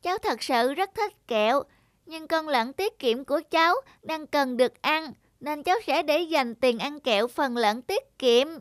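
A woman's voice: high voice, expressive, measured pace. A woman voicing a kind-hearted, earnest and polite little girl in a cartoon. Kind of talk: cartoon voice acting.

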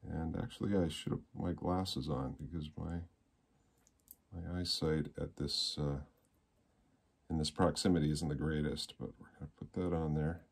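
A man, explaining things in a casual, halting vlog style at home. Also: rumbling low, steady tone, gravelly, breathy edge. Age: 60s. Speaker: musician and presenter. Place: small rooms in a home.

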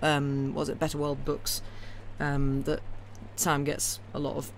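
A woman's voice: sits low, measured pace. A woman chatting casually and ramblingly to a live audience as a stream host, wry, opinionated and enthusiastic. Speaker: livestream host who reads classic novels aloud. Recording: clear steady background noise.

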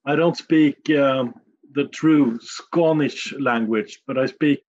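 A man from Sweden with a Stockholm accent, a non-native English speaker answering interview questions in a measured, plain-spoken way with small slips. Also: medium pitch, darkish tone, highs cut off. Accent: Stockholm Swedish. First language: Swedish. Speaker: guest, communications manager.

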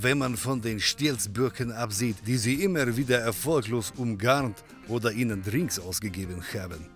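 An actor playing a friendly European barkeeper in a comedy audio drama, easygoing and confiding in manner. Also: male voice, mid-range voice, fairly bright tone. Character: friendly European barkeeper, helpful male pub owner.

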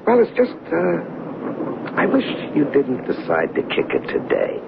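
A man's voice: a little high, wildly swooping pitch, takes it slowly, gravelly, heavily acted. An actor playing a pushy, cajoling male commuter and card player in a 1970s radio drama, coaxing and insistent. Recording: noisy, narrow-band old radio broadcast.

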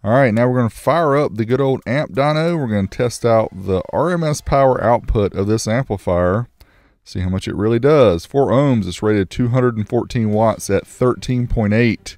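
A man's voice: mid-range voice, normal speed, a little mellow, no room sound, studio quality. A man reviewing car audio gear, narrating a test in a matter-of-fact, casual, technical way.

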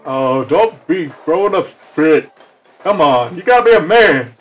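Man voicing a high-pitched, good-natured cartoon wolf. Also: animated, unhurried. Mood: earnest. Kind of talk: improvised cartoon roleplay. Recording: slightly dull lo-fi mic, music behind.

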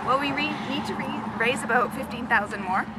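A woman's voice: sits high, fairly quick, crowd noise, low-quality recording. A woman in her thirties, a radio station manager, chatting casually and cheerfully in an outdoor interview at a car wash, filmed close up on a handheld camera. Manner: upbeat, chatty, with fillers. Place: outdoors at a sunny parking lot car wash. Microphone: handheld camera close to the face. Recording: outdoor traffic noise behind.

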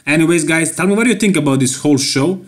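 A man's voice: a little high, fast talker. A man with a Serbian accent giving casual, joking voice-over commentary on a bodybuilding show, laughing often. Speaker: bodybuilding YouTuber.

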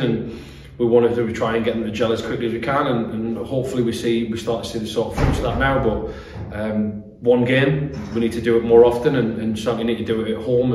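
A man's voice: mid-range voice, darkish tone. A British man in his thirties, a football manager giving a post-match interview, repeating words as he finds his phrasing. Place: small bare-walled room indoors. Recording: echoey room, distant mic, faint noise.

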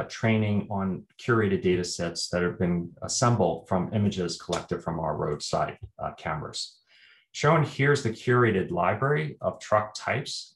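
Male keynote speaker, low register, measured technical delivery, echoey room. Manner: measured, technical, with frequent "uh" fillers. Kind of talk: academic research presentation.

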